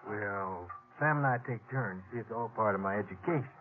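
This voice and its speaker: An actor playing an earnest, easygoing young stagecoach station hand in a 1950s radio western, polite and folksy. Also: male voice, on the low side, fairly quick, close to an everyday voice. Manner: folksy, polite, drawn into explanations. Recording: tinny, hissy 1950s radio recording.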